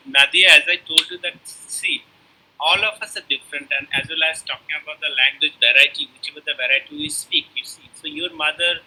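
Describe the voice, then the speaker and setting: A man's voice: a little high, pushed and bright, rough recording. A man lecturing in a webinar over a computer mic, talking discursively with frequent "you see" and "uh". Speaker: guest lecturer. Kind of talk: academic lecture and Q&A.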